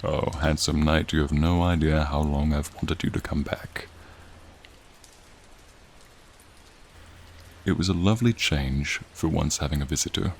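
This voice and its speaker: A man's voice: normal speed, a little hiss or hum. A man voicing a friendly, charming frost dragon in a soothing, courtly, admiring manner, in an ASMR roleplay with rain behind him. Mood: warm, welcoming. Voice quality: low, gravelly, soothing. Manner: courtly, leisurely, warmly attentive. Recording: steady rain sounds behind the voice. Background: rain falling.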